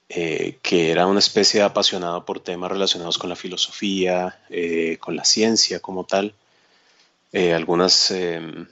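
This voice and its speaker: A Colombian man talking casually as a guest, in a halting way with frequent tag questions. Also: sits low, fairly bright tone, highs cut off. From Cali, Colombia. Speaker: podcast guest, psychologist. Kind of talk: casual conversational self-introduction.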